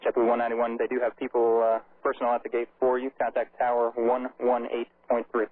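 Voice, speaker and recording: Monotone, rapid-fire male air traffic controller. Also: medium pitch, no room sound. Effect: aviation radio. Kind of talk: clipped procedural radio instructions. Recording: narrowband crackly air traffic radio.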